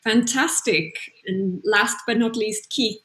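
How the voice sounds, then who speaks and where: A woman moderating an online panel discussion, brisk and encouraging. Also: medium pitch, fairly bright tone. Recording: roomy echo.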